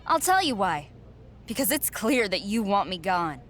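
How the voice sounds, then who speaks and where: A woman's voice: mid-range voice, very animated, normal speed, slight background noise, natural voice. A woman playing a defiant, determined female pro wrestler in a video game cutscene, speaking in a firm, confrontational way.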